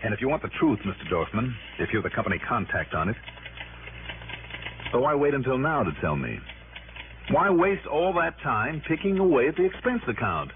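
An actor playing a sardonic, impatient insurance investigator with clipped, sarcastic delivery, in 1960s radio drama style. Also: male voice, mid-range voice, sing-song, moderate pace, slight background noise, close to an everyday voice. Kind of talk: 1960s radio drama acting and first-person narration. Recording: tinny old radio broadcast, music bed.

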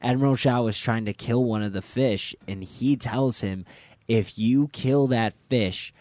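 A man's voice: medium pitch, measured pace, darkish tone, no room sound. A man around twenty-one co-hosting a podcast, rambling and laughing often in casual chat. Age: 20s. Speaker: podcast co-host.

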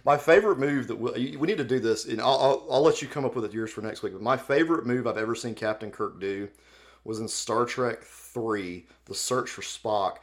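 A man's voice: medium pitch, moderate pace. A man co-hosting a podcast in casual, comedic fan chat, joking in a wry, offhand way. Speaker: podcast co-host.

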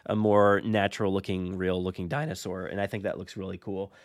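A man's voice: low voice, level tone, fairly quick. Joking, informal man hosting a podcast. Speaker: podcast host. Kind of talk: casual chat about game news.

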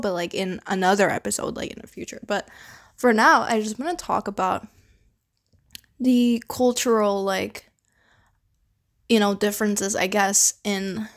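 This is a young woman talking by herself in a loose, casual podcast monologue. She was born in the Philippines but her English sounds Canadian, and her pitch sits in the middle for a woman. She rambles, drops "like" and "you know" constantly, and swears offhandedly. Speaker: podcast host.

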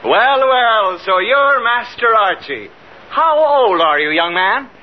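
An actor playing an indignant, skeptical children's program host, formal and sarcastic, in a 1950s radio comedy. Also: male voice, high-pitched, expressive, takes it slowly. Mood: dryly skeptical. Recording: muffled, very noisy old radio recording, loud audience.

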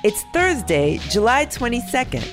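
A woman hosting a news podcast, reading a scripted, upbeat narration with clear diction. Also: a little high, sing-song, moderate pace, over music.

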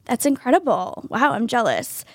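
A woman's voice: on the high side, big pitch swings, moderate pace, no echo. A woman hosting a podcast, chatting in a bubbly, enthusiastic way with her guest. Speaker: podcast host. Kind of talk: casual interview chat.

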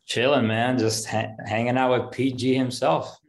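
A man's voice: mid-range voice, level tone, normal speed. A man in his thirties from Miami whose first language is Spanish, chatting easygoing as a podcast guest and rambling with lots of fillers. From Miami, United States. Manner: easygoing, rambling, many fillers like "um" and "you know". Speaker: podcast guest, country singer. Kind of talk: casual interview chat.

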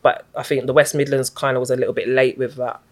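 A middle-aged woman, a guest in an interview, recounting her story in a reflective, even-toned, unhurried way. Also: a bass voice. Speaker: guest, community activist.

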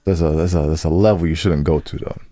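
A man's voice: extremely low pitch, steady tone, fast, dark tone, no room sound. An American man co-hosting a podcast, chatting in a colloquial, teasing way and often repeating himself. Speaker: podcast co-host. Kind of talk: casual, bantering podcast chat.

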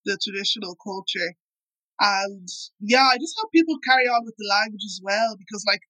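A young woman from Ireland talks casually as an interview guest, peppering her sentences with "like" and "I suppose". Her voice sounds bright and forward. The recording is dry and close. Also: on the high side, dry recording, low-quality recording. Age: young adult. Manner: chatty, lots of "like" and "I suppose".

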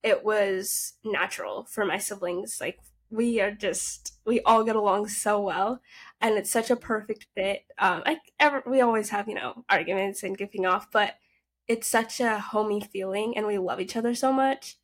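A woman's voice: medium pitch, normal speed. A nineteen-year-old woman raised in the United States, a podcast guest telling upbeat stories about her family. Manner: upbeat, chatty storytelling. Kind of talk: casual interview.

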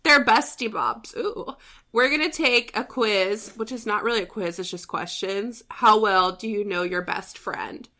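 Bright-voiced woman, sleepy casual co-host. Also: mid-range voice, measured pace. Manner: sleepy, laughing, rambling. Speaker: podcast co-host. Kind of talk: casual chat.

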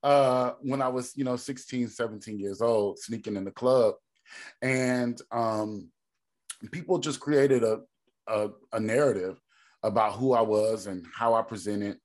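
Deep-voiced man, thinking aloud. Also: measured pace, darkish tone. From Texas. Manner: thinks aloud, many ums and restarts. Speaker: podcast guest. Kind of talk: reflective conversational storytelling.

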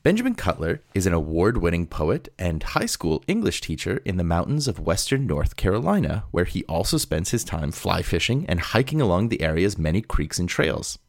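A man's voice: deep voice, very animated, normal speed, few pauses, no echo, studio quality. A man guest hosting a podcast, giving a conversational scripted introduction.

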